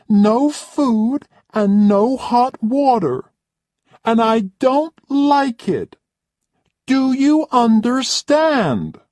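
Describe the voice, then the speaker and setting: A reader voicing a spoiled, demanding woman who complains shrilly and loudly. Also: mid-range voice, dry recording, clean studio sound, voice pushed up.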